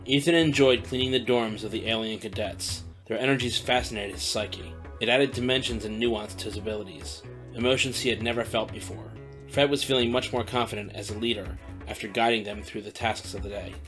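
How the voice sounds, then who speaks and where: A man's voice: lowish voice, steady tone, a little fast, fairly bright tone, soft background music. A man narrating an audio drama in a scripted storytelling style.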